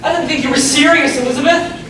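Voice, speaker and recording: A man's voice: extremely high pitch, fast talker, far from the mic. A man acting in a stage play as an uneasy, evasive teenage boy, exasperated and urgent. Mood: uneasy, incredulous. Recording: echoey stage recorded from afar, noisy.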